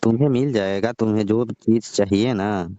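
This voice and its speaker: Guy acting as worried sasur on a call, low soft-toned voice, coaxing, muffled lofi sound. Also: fairly even intonation, normal speed, no room sound, natural voice. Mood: placating. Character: middle-aged father-in-law, anxious about family honour, placating. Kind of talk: amateur staged phone-call drama. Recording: dull lo-fi phone-call style recording.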